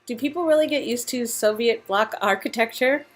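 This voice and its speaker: A woman's voice: on the high side, fairly quick, natural voice. A woman voicing a supportive, sardonic young aspiring actress in a conversational game-dialogue reading. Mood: wry.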